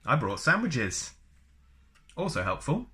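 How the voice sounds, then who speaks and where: A man's voice: medium pitch, huge pitch swings, a little slow, bright, forceful tone. A man reading a book aloud as a storyteller, chatty and often slipping into casual asides. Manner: chatty, wanders into personal asides.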